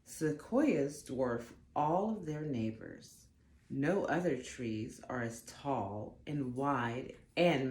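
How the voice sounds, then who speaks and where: Low-voiced woman reading slowly to children. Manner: slow, expressive, deliberate phrasing for young listeners. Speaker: storybook reader for children. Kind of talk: read-aloud of a children's picture book. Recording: roomy echo, faint background noise.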